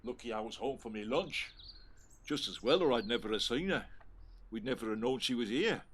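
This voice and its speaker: A man's voice: mid-range voice, expressive, brisk, a bit bright, slightly rough. A man playing a working-class husband in an audio play, with a colloquial, homely, coaxing way of talking. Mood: relieved, chatty.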